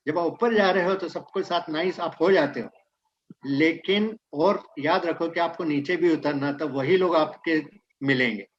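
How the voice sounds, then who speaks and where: A man's voice: a little high, racing, muffled recording. A man whose first language is Hindi, speaking Indian English and mixing in Hindi, giving a motivational talk on leadership that states maxims and then explains them. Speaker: guest speaker.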